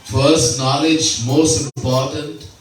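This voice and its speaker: A man, a swami, giving a slow devotional sermon at a home gathering, repeating key phrases and mixing in Hindi terms. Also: medium pitch, fairly even intonation, a little hiss or hum. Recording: very echoey room, mic far off.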